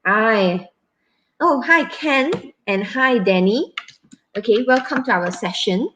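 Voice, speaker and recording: A woman's voice: on the high side, animated, fairly bright tone, a little hiss or hum. Chatty Malaysian woman hosting a live stream. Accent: Malaysian English. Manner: chatty, halting, many fillers and restarts. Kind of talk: casual live stream chat.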